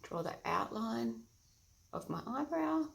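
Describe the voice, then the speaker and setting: A woman with a loud, husky voice, chatting through a casual makeup tutorial early in the morning before her coffee. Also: a little high, sing-song, slow pace. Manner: loud, chatty, talks through each step. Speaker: makeup vlogger. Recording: echoey room.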